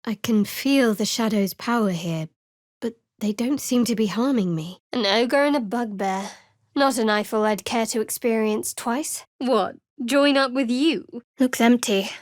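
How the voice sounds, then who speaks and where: An actress playing a guarded, sardonic young cleric of a dark goddess in a fantasy game, with a dry, wry delivery. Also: female voice, on the high side, a little slow, no room sound, lightly acted.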